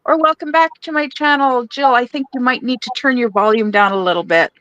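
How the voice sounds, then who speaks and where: A woman's voice: a little high, fairly quick, fairly bright tone, no echo, highs cut off. A woman hosting a casual live stream while crafting, chatty and giggly, laughing between her rambling phrases. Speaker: livestream host.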